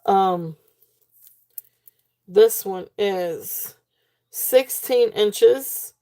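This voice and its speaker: Woman, live sale host, mellow gravelly voice going hoarse, chatty with plenty of fillers. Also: medium pitch, drawn-out words. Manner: chatty, fillers, reads comments aloud. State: losing her voice. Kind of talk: live auction selling chat.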